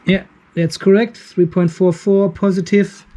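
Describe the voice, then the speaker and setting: A man's voice: sits high, little pitch movement, measured pace, mellow. A man, hosting a hands-on workshop demo and explaining each step while he works in a cluttered garage workshop, filmed on a handheld camera held close. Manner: explains step by step while working, thinking aloud. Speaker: channel host.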